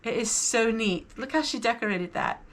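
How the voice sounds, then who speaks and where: A woman's voice: on the high side, moderate pace. A woman hosting a vlog, chatting casually to viewers about items she shows, in an enthusiastic and appreciative manner. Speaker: vlog host. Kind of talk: casual haul chat to viewers.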